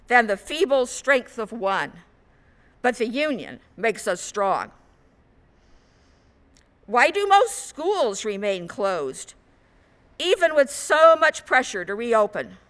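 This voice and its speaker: A woman minister giving a sermon in measured, rhetorical short phrases. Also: sits high, fairly bright tone. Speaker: guest minister.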